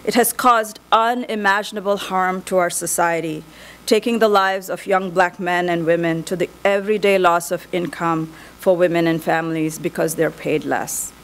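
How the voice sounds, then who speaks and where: A woman's voice: on the low side, steady tone. Woman moderating a conference panel. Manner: measured, some restarts when off-script. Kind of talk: opening remarks and introductions. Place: conference hall.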